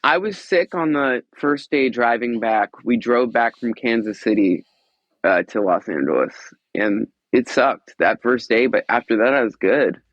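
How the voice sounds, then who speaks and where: A man with a stuffed-up, congested voice, chatting in a relaxed, easygoing way. His sound is bone-dry and a little dull, as if recorded on a modest remote mic. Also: mid-range voice, measured pace, dry recording. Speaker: podcast guest, musician. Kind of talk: casual chat.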